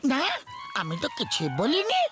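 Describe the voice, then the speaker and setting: A woman voicing a calm, sensible cartoon sidekick who asks questions. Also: sits high, normal speed, faint music behind, heavily acted. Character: sensible thin friend of Motu.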